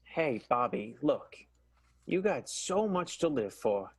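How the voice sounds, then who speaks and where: Guy doing a resigned 20s jailbird, slow husky drawl, tender, close dry sound, radio play. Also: medium pitch, big pitch swings, no room sound, full character voice, low-quality recording. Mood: tender, weary. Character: resigned, self-sacrificing male 1920s jailbird, tender. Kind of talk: improvised 1920s radio soap acting.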